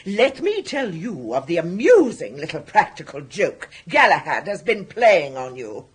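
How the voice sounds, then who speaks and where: Imperious, husky English grande dame. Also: female voice, fairly low pitch, sing-song, measured pace, exaggerated voice. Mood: scornful, triumphant. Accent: upper-class English. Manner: domineering, emphatic, disdainful. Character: imperious, indignant, bossy upper-class English sister. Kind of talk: British radio comedy drama acting.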